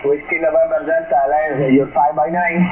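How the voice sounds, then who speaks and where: A man's voice: fairly high pitch, steady tone, brisk. Over a shortwave radio transmission, a man works as an operator, giving clipped procedural calls and replies. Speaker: radio operator making contacts. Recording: narrowband radio signal, loud static noise.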